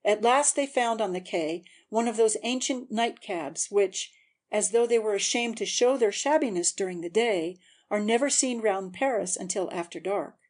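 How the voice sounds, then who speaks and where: A woman's voice: mid-range voice, a little mellow. A woman narrator giving a scripted, dramatic audiobook reading.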